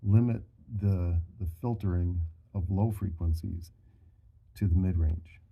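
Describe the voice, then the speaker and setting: Low husky guy doing a diy how-to, mellow, kinda halting, thinking out loud as he goes. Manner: deliberate, halting, working through figures aloud. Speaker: host of a DIY audio tutorial. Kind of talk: casual, thinking-aloud tutorial narration.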